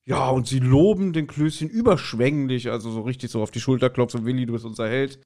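A man's voice: mid-range voice, fairly quick, darkish tone. Male podcast co-host. Casual, conversational recap and review, mid-range voice, amused asides. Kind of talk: casual chat recapping and reviewing an audio drama.

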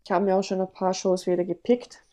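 A woman speaks with a congested, stuffy-nosed voice, as though she is getting over a cold. She talks in a rambling, casual way and often stops to correct herself mid-sentence. Her pitch sits in an ordinary middle range. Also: little pitch movement, quick pace, mellow. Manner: rambling, frequent self-corrections. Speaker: athlete guest. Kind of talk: casual podcast interview.

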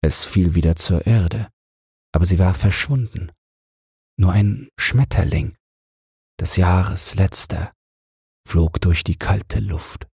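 A man narrating a fairy tale as a soothing bedtime audiobook, in a calm and measured way. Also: deep voice, vocal fry, breathy edge, no room sound, clean studio sound.

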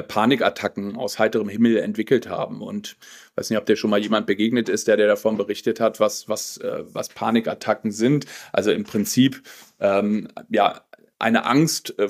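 A man in his forties with a loud, commanding voice, telling his own story as an interview guest in a reflective, halting way. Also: fairly low pitch. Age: 40s. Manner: reflective, halting with frequent pauses and repeated words. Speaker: podcast guest, personal development coach.

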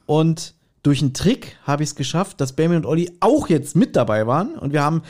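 A man's voice: on the high side, very animated, a little fast, a little mellow. A man chatting casually as a co-host on a podcast.

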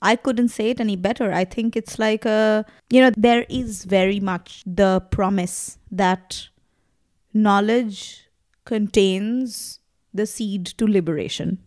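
A woman's voice: mid-range voice, slow pace, darkish tone. A woman in her thirties whose first language is Bangla, talking in a reflective, passionate way with many fillers as a podcast guest. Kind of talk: casual conversation.

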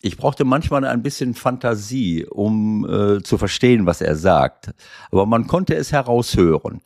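Give me an older football coach on a podcast, gravelly and lowish, hesitant and rambling. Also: male voice, lively intonation, a little slow, a little mellow. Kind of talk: casual podcast chat.

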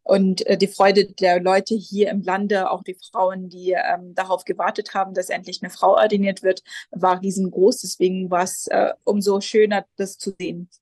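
A woman in her twenties from Jerusalem, a pastor answering interview questions hesitantly, with many pauses and restarts. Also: medium pitch, flat delivery, darkish tone, slightly rough, no room sound. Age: 20s. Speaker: Lutheran pastor, guest.

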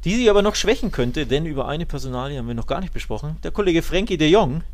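A man's voice: a little high, expressive, quick pace. A man talking football in a casual, colloquial and opinionated way, with emphatic delivery. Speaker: football podcast host.

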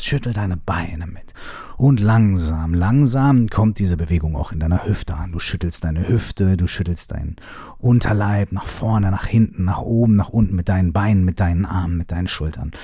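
A man leading a guided shaking meditation, giving repetitive instructions in a soft, partly whispered voice, with some casual swearing. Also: deep voice, husky, no room sound, studio quality. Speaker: movement exercise guide.

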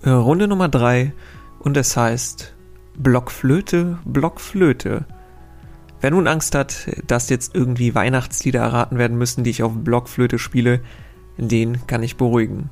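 A man's voice: medium pitch, unhurried, gravelly, a little mellow, faint music behind. A man hosting a casual quiz podcast, reading out riddles and wandering into chatty, self-correcting asides.

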